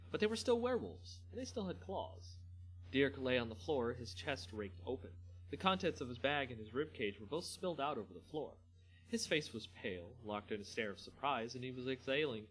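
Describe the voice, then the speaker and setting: A man hosting a dramatic story reading, expressive in delivery and now and then stumbling and correcting himself. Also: mid-range voice, breathy edge, poor audio. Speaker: host reading a fantasy story aloud.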